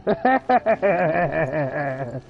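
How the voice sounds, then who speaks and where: A man livestreaming a game with casual, sparse, halting commentary. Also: fairly high pitch, big pitch swings, gravelly. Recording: dull lo-fi mic, game music behind.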